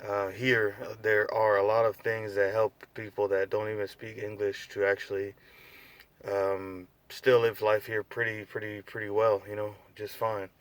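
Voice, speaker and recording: Young man, tired, unscripted vlog, low even voice, rambling delivery. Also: measured pace, clean tone, no room sound. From United States. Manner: laid-back, rambling, many fillers and restarts. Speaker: vlogger.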